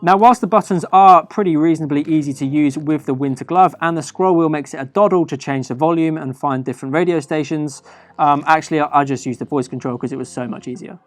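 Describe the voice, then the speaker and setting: A British man with a slightly high voice presents a product review over faint background music. His delivery is conversational and chatty, partly scripted, and he leans on key words for emphasis. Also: fast talker, no echo.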